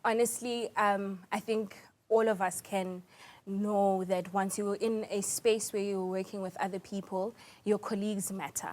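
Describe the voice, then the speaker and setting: A South African woman speaks as an interview guest. Her delivery is composed and measured, with a mid-pitched voice, as she gives considered answers in a news interview style. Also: flat delivery, a little mellow. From South Africa, small town in Mpumalanga. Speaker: interview guest, air traffic controller.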